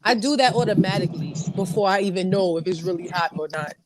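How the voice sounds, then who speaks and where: A woman's voice: mid-range voice, fast talker. A woman, co-hosting a casual chat and speaking bluntly and fierily with teasing humor. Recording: loud crowd noise behind.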